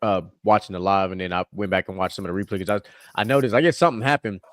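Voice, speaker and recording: A man's voice: low voice, racing, no echo. A man hosting a podcast in loose, casual chat, swearing and laughing with his co-hosts. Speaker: podcast host.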